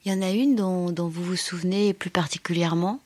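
A woman's voice: fairly low pitch, steady tone, a little fast, a little mellow, no room sound. Woman interviewer, close dry sound. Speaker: interviewer and host. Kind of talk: broadcast interview.

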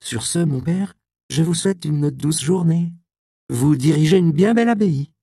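A cunning young apprentice in a spoken historical tale, laying on formal courtesy with a hidden edge, voiced by a male reader with a gravelly voice pushed a little higher. The sound is close and dry. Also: moderate pace, mellow, dry recording. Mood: sly politeness.

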